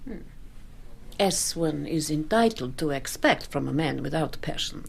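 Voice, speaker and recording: Languid, husky, mocking worldly woman. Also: deep voice, lively intonation, measured pace, heavily acted. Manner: languid, ironic, breathy at phrase ends. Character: proud, teasing, worldly woman, coolly alluring and mocking. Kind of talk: literary radio drama acting.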